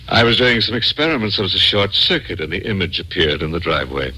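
Deep raspy sinister doctor. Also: male voice, fast, pushed and bright, heavily acted, poor audio. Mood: coolly philosophical. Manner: commanding, coolly menacing. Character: sinister, controlling male doctor and inventor. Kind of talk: old-time radio drama acting. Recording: old-time radio recording with music behind.

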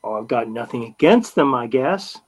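Timid, stammering young husband. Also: male voice, mid-range voice, lively intonation, normal speed, slightly rough. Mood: naive, puzzled. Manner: hesitant, stammering. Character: timid, naive, bumbling polite young husband shopper. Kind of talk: old-time radio comedy acting.

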